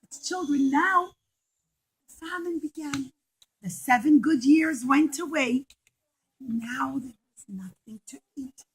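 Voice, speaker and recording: A woman's voice: high voice, slow pace, low-quality recording. A woman storyteller narrating a puppet show to children in simple short phrases with emphatic repetition.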